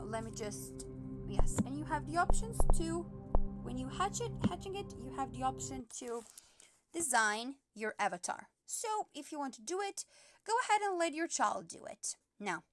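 High-voiced woman teacher explaining patiently. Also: very animated, normal speed, over music. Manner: patient, step-by-step explaining with many fillers. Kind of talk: app tutorial screen recording.

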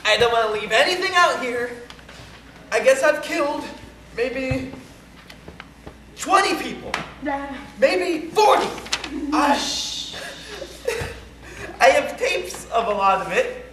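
A man's voice: very high-pitched, big pitch swings, slow, pauses often, heavily acted. A man performing a stage monologue as an unhinged, panicking murderer confessing by phone, stammering and breaking into nervous laughter. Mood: manic, frantic. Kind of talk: theatrical monologue as a one-sided phone call. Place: large room at a banquet, recorded on camcorder. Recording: echoey hall, loud noisy camcorder audio.

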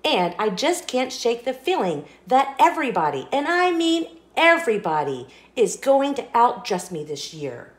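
Woman voicing a dramatic, grumpy little seed, high and swooping. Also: big pitch swings, moderate pace, few pauses, reverberant. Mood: grumpy, exasperated. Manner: dramatic, exclamatory storytelling. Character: grumpy, anxious, scheming male sunflower seed. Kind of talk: children's storybook read-aloud.

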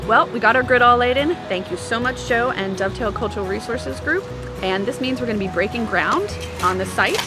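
A woman hosts a casual interview outdoors. Her voice sits fairly high and moves with lively intonation. Music plays behind her. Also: fairly quick. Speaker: host interviewer at an archaeological dig. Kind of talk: presenting to camera, casual interview. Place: outdoors on a grassy farm.

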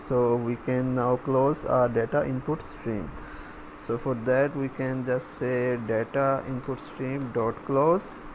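A man giving a coding screencast tutorial, dictating step by step in short, halting phrases. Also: mid-range voice. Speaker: programming tutorial instructor. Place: voice-over for a screen recording. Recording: clear steady background noise.

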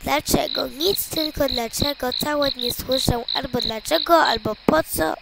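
A proud, sleepy grown tomcat, played by a woman in a children's radio play. The delivery is slow, gravelly and patronising, over loud noise with chirping insects. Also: sits high. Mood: irritated. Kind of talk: home-made children's radio play acting.

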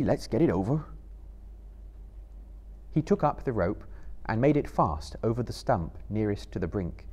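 A man in his thirties giving a live dramatic reading of literary narration in a measured, theatrical manner. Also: on the low side, a little mellow. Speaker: storyteller, reader. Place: indoor small stage before live audience.

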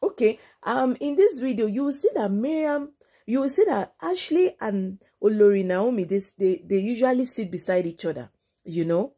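Slightly husky Nigerian woman host. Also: fairly high pitch, animated, normal speed, mellow. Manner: switches between English and Yoruba. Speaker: online gossip channel host. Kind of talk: informal celebrity news commentary.

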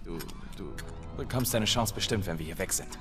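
A man playing a young, defiant, brooding dark apprentice in a video game, speaking in terse, intense phrases. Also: sits low, a little fast, close to an everyday voice. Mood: terse, guarded. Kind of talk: dramatic game dubbing. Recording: loud music over the voice.

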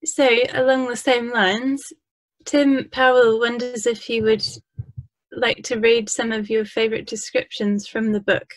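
A woman hosting an interview over a video call, reading audience questions in a polite, measured way. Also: a little high, little pitch movement, no echo, poor audio. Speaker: interview host.

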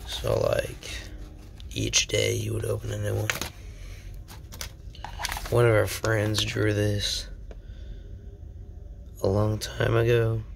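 A man's voice: fairly low pitch, completely flat delivery, slow, gravelly, pauses often, a little hiss or hum. A young man hosting a casual show-and-tell vlog at home, a little sick, rambling and self-deprecating, often dropping into whispers. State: sick, voice slightly off. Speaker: channel host.

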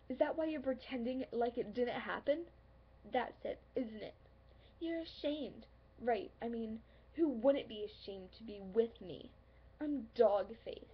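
Woman acting a high, creaky, lovelorn young woman. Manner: earnest, pleading, halting with pauses. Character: hurt, insecure, lovelorn young woman confronting her friend. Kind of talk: dramatic stage monologue. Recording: dull lo-fi, faint background noise.

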